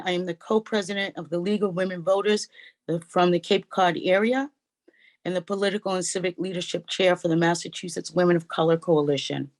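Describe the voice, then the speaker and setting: A woman moderating a public forum, reading prepared opening remarks in a measured way with small slips, over a video call. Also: fairly low pitch. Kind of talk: formal scripted welcome.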